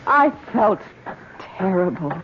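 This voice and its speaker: Nervous guilty wife, halting and earnest, muffled noisy old radio play. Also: female voice, mid-range voice, sing-song. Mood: guilty, anxious. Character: anxious, guilt-ridden archaeologist's wife, polite. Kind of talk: old-time radio drama acting.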